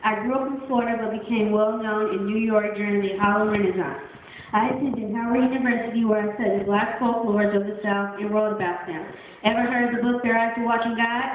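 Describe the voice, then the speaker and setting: A woman's voice: mid-range voice, fairly even intonation, a little fast, slight background noise, lightly acted. A woman playing a confident woman writer. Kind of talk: school play monologue. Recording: echoey hall, phone-quality audio.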